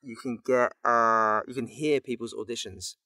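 A man giving advice in a casual podcast chat, in a halting, self-interrupting way. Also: medium pitch, fairly even intonation, bright, forceful tone, no echo, clean studio sound. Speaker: voice actor, podcast guest.

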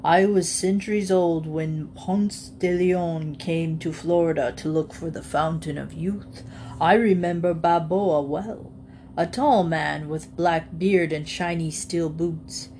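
A woman voicing an ancient male alligator, pompous, drawling and calmly menacing in a grandly polite way. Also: lowish voice, slow pace, a little hiss or hum. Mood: smug, menacing.

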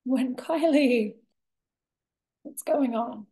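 A woman in her thirties giving a candid, reflective personal monologue to camera, expressive, with deliberate pauses and dramatic emphasis. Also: a little high. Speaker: vlogger sharing a personal story. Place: bright indoor room with large windows. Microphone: laptop webcam at desk distance.

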